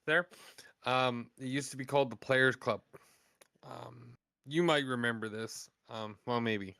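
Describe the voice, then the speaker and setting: A man's voice: medium pitch, steady tone, a little slow, gravelly, pushed and bright, no echo, studio quality. A man in his thirties telling loose, profanity-heavy stories in a casual podcast chat. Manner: heavy swearing, loose storytelling. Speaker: podcast co-host.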